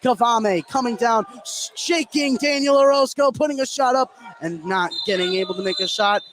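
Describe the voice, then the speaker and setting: A man doing live radio play-by-play of a basketball game, getting excitable on big plays. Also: very high voice, normal speed, crowd noise. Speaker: play-by-play commentator.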